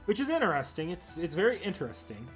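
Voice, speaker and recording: A man's voice: high voice, huge pitch swings, quick pace. A man hosting a livestream, chatting casually with viewers and rambling as he thinks aloud. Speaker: livestream host. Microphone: desktop mic at a computer. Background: music.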